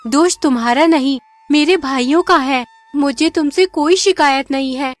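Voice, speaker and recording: A gentle young village woman, acted by a female performer, earnest and sincere. She has a high voice, in cartoon folk-tale dialogue with music underneath. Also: moderate pace, close to an everyday voice. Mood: regretful. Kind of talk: Hindi cartoon moral-story dialogue.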